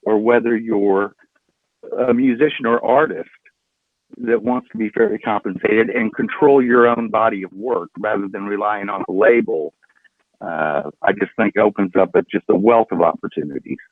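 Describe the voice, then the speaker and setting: A middle-aged man talking earnestly and deliberately as a podcast guest in a casual interview. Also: fairly low pitch, dry recording. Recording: tinny, phone-like call audio.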